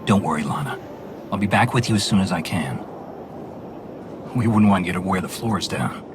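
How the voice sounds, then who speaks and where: An actor playing a noble, reassuring knight commander in a video game, courteous and steady. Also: male voice, deep voice, normal speed, background noise. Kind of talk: video game cutscene acting.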